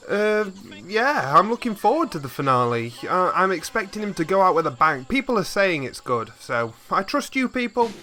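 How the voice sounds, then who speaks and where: A man's voice: high-pitched, very animated, normal speed, few pauses, pushed and bright. A man hosting a reaction video, chatting casually to viewers in a rambling, easily amused way on a desktop microphone. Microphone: desktop USB microphone, slightly set back.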